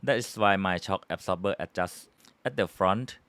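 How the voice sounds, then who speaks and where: A man's voice: sits low, normal speed, a bit bright, dry recording. A man from Thailand with a Thai accent, hosting his hobby channel and patiently explaining a how-to in simple English. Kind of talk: casual how-to tutorial.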